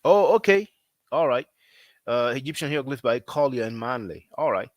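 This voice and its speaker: A man who is not a native English speaker, talking casually as a language teacher, repeating himself often and filling pauses with "you know.". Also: medium pitch, animated, no echo, dull audio. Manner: repetitive, conversational, frequent fillers. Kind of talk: casual promotional talk.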